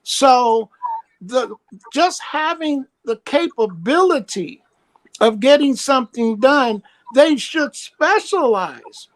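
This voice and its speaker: Slow-talking high-voiced woman caller. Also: animated, poor audio. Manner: blunt, opinionated, teasing. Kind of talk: casual chat.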